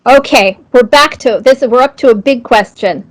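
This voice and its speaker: Female interviewer, slightly high voice, casual question-and-answer delivery. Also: normal speed, poor audio. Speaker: interviewer, host.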